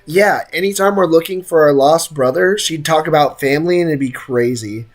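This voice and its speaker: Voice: mid-range voice, moderate pace. A game streamer giving casual livestream commentary in a joking, slangy way.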